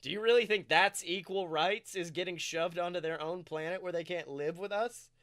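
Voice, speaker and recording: An earnest, urgent smuggler, voiced by a man retelling a story. He pleads and insists in a clear, raised voice. He sounds like the conscience of the adventure. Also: high voice, normal speed, fairly bright tone. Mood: urgent, pleading.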